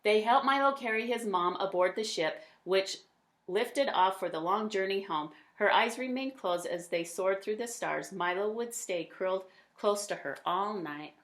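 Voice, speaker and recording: A woman's voice: medium pitch, a little slow. Woman reading a children's storybook aloud. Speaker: read-aloud storyteller, teacher.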